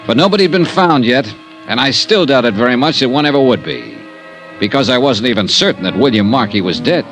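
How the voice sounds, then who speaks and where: Man playing a lively, wry radio investigator. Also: mid-range voice, fairly quick, soft background music, close to an everyday voice. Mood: businesslike. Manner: clipped, dry, skeptical. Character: wry, self-assured freelance insurance investigator narrating his case. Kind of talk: 1950s radio detective acting. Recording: vintage radio drama recording.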